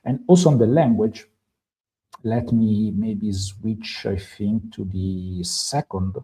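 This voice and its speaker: Italian guy on a tech stream, lots of uhs and restarts, kinda dark mellow voice. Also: fairly low pitch, takes it slowly. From Italy. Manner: hesitant, many uh fillers and restarts. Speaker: stream co-host presenting a guide. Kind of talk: live tech stream walkthrough.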